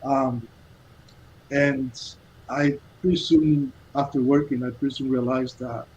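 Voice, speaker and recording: A man's voice: mid-range voice, little pitch movement, a little mellow. Earnest man on a dull call line. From Cuba. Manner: earnest, halting, many restarts. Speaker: guest. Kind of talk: casual storytelling interview.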